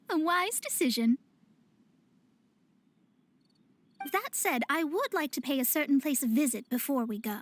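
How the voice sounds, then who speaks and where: A woman's voice: high voice, expressive, moderate pace. A woman voicing a proud young woman astrologer in a video game, speaking in a pompous, formal, precise way. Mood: pleased, composed. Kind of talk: scripted video game voice acting. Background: game music.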